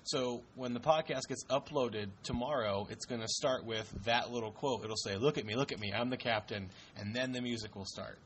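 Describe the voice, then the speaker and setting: A man's voice: mid-range voice, normal speed, hardly any pauses, slight background noise. Joking man hosting a casual podcast. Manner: jokey, leads the segments. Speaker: podcast host. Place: home.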